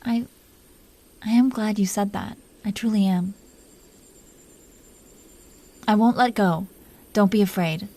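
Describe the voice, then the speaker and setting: A woman's voice: medium pitch, fairly even intonation, normal speed, warm tone, natural voice. A woman playing a protective, doting dragon queen who teases her lover tenderly in an intimate roleplay. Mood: tender, reassuring. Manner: tender, playfully teasing, breathy whispers at times. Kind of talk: intimate roleplay audio, soft acting.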